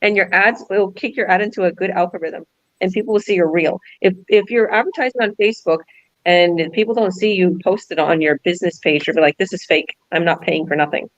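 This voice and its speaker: A woman's voice: lowish voice, a little fast, dry recording, muffled recording. A woman hosting as a business coach, giving emphatic motivational advice and repeating her key phrases.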